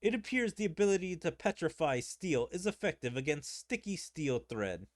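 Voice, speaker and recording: A man's voice: fairly high pitch, big pitch swings, normal speed. Man reading as a formal, composed anime game fighter.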